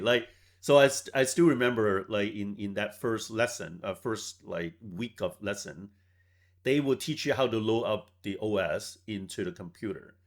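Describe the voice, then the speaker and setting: A man in his forties who grew up in Hong Kong, a software founder telling stories in a measured way as an interview guest. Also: lowish voice. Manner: measured, tags points with "right?". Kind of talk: reflective storytelling interview.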